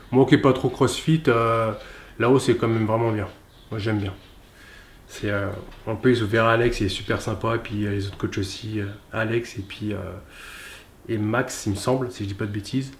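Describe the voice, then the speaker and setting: A man gives a relaxed, rambling recap of his workout in a gym, with many filler pauses. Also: mid-range voice, roomy sound, slight background noise. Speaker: fitness vlogger. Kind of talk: casual workout vlog recap.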